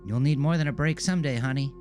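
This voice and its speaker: A man's voice: medium pitch, fast, a little mellow, faint music behind, voice pushed up, natural voice. A man voicing a weathered, world-weary woman teacher in an audio drama, folksy and pityingly condescending. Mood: weary pity. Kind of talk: audio drama character dialogue.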